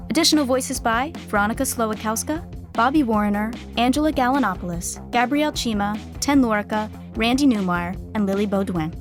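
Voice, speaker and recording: A woman's voice: on the high side, lightly acted. A woman narrating a scripted audio sitcom as a wry storyteller who comments on the action. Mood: plain credits. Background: music.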